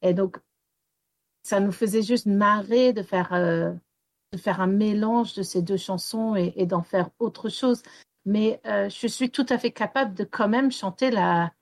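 A woman's voice: medium pitch, a little slow, no room sound. A woman raised in Wales, with English as her first language, answering interview questions about tales and songs and hesitating with many fillers. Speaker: storyteller and singer.

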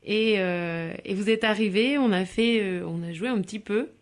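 A woman hosts a casual radio interview in a mid-pitched voice. She prompts her guest along and adds her own memories in between. Her talk is relaxed and conversational. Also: normal speed. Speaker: radio host.

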